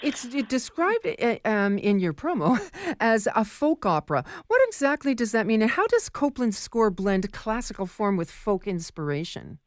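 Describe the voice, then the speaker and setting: A woman's voice: mid-range voice, very animated, moderate pace, few pauses, no room sound, clean studio sound. A woman hosting a radio interview, asking questions with enthusiastic interjections. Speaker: radio host. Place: radio studio.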